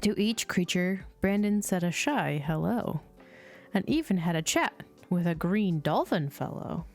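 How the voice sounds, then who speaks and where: A woman reading children's storybooks aloud as a host, in a measured, sing-song storytelling delivery. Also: on the low side, expressive. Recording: music playing behind the voice. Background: chill music.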